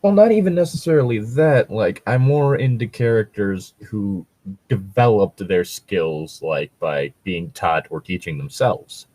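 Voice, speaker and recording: Male podcast co-host, fairly deep, halting and sweary off-the-cuff commentary, very little room sound. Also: animated, a little mellow, no room sound. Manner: hesitant, filler-heavy, casually sweary. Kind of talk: casual chat commentary.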